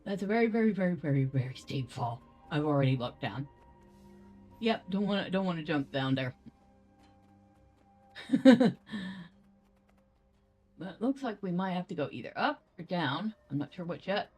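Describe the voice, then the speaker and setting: A woman's voice: on the low side, lively intonation, normal speed, pauses often, a little mellow, slightly rough, faint music behind. A woman giving casual game commentary, chatty and playful as she thinks aloud. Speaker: gaming video host.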